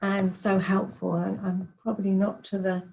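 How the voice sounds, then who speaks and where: A woman's voice: medium pitch, flat delivery, moderate pace, gravelly, highs cut off, noisy background. A woman hosting a podcast interview, chatty and encouraging as she introduces and talks with her guest. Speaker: podcast host.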